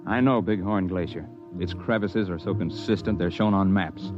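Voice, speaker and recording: A man's voice: low voice, moderate pace, lo-fi sound, close to an everyday voice. A man playing a plainspoken, seasoned mountain climber who narrates and acts in an old-time radio drama. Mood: measured, tense. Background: music.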